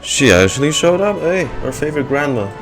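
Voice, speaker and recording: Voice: medium pitch, very animated, brisk. A woman giving casual, sarcastic, teasing reaction commentary on a game, swearing freely. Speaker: gamer reacting to a game story. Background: video game music and dialogue.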